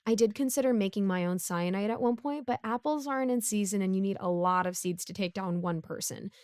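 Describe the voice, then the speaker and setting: A woman's voice: medium pitch, fairly quick, darkish tone, smooth, no room sound, clean studio sound, close to an everyday voice. A woman playing a broke, bumbling, chatty supervillain neighbor in a casual audio roleplay, rambling awkwardly as she pleads and justifies herself. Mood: sheepish rambling.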